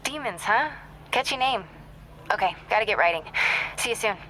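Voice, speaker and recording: An actress voicing a determined young female reporter with a brisk, wry manner. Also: medium pitch, lively intonation, a bit bright, a little hiss or hum, close to an everyday voice, low-quality recording.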